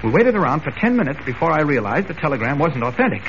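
An actor playing an earnest, urgent newspaper reporter in brisk, emphatic 1940s radio style. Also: male voice, on the high side, big pitch swings, a bit bright. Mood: insistent, serious. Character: earnest, urgent male newspaper reporter with a secret hero identity. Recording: noisy, muffled old radio recording.

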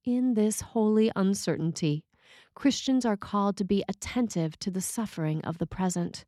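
A woman's voice: mid-range voice, normal speed, mellow, dry recording. Woman reading a sermon, dry sound.

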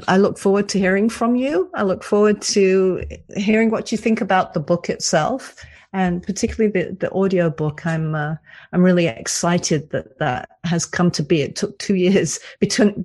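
A woman author and cantor speaking in a radio interview, soft and soothing, with hesitant restarts. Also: fairly low pitch. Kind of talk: casual interview talk.

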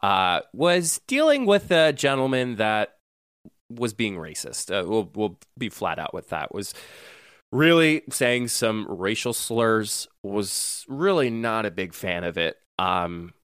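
A man's voice: fairly low pitch, slow, clean tone, no room sound, clean studio sound. A man co-hosting a casual chat podcast, talking loosely and laughing often. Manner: laughs often, animated and chatty. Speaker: podcast co-host.

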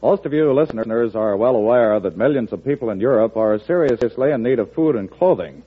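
A man's voice: mid-range voice, animated, fairly quick, husky. Tinny old-time radio announcer, male. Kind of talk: 1940s radio public appeal, scripted.

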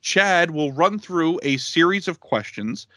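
A man in his thirties co-hosts a podcast in a bright, forceful voice. He rambles and pokes fun at himself. The sound is close and dry. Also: fairly high pitch, lively intonation, unhurried, no room sound. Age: 30s. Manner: rambling, self-deprecating, lots of fillers. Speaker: podcast co-host. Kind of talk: casual podcast chat.

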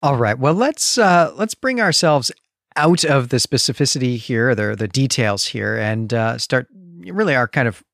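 A man's voice: mid-range voice, animated, normal speed, gravelly, a bit bright, no room sound, clean studio sound. A man hosting a podcast, talking through his points in a thoughtful, explanatory, conversational way. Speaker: podcast host. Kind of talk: casual comic book discussion.

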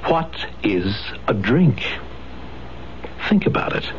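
A man's voice: fairly low pitch, sing-song, takes it slowly. A man reading a scripted radio public service announcement in a questioning, rhetorical manner. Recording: muffled, noisy 1970s radio broadcast.

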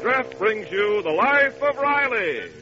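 High-voiced animated 1940s radio announcer, a man. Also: slow pace. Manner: declamatory, polished salesmanship. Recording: dull vintage radio broadcast, music behind.

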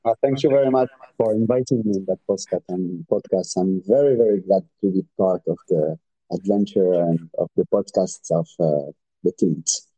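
A young man with a French accent talks as a podcast guest. His voice sits low and mellow, and the sound is dry. He hesitates often and restarts, searching for English words. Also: moderate pace, husky, no echo, low-quality recording. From Democratic Republic of Congo. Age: 20s. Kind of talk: casual interview.

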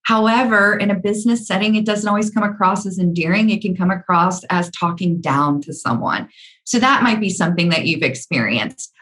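A woman from the American South speaking with a measured, earnest manner and frequent ums, her Southern accent mostly hidden. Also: mid-range voice, fairly bright tone. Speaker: speaker on workplace diversity. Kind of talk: talk with personal stories.

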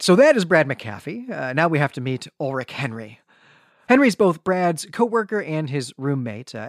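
A man's voice: medium pitch, no echo, clean studio sound. A man co-hosting a podcast, recapping and discussing fiction in a conversational, wryly explanatory way. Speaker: podcast co-host.

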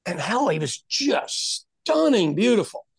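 Animated middle-aged man telling stories, dry sound. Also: sits high, measured pace, gravelly, husky, no room sound. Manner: animated storyteller, acts out quoted voices. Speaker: podcast host. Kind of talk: casual conversation.

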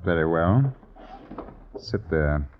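An actor playing a stern sheriff of old London Town in a radio drama, questioning in formal, archaic phrases. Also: male voice, low-pitched, lively intonation, slow. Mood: stern, probing. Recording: dull, noisy vintage radio recording.

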